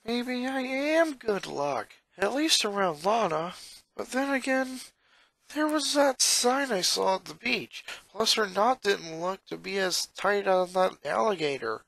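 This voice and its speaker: A man's voice: high-pitched, animated, measured pace, creaky, fairly bright tone, dry recording, voice pushed up, heavily acted, poor audio. A man voicing an eleven-year-old boy, a reluctant, sarcastic and grumbling sidekick. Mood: unsure.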